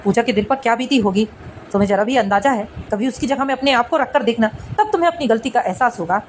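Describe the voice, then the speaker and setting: A woman's voice: a little high, fast talker, slight background noise, voice pushed down, lightly acted. A woman voicing a stern, indignant grown son in a cartoon family drama, emphatic and devoted to his parents. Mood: indignant, scolding. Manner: scolding, emphatic, dutiful.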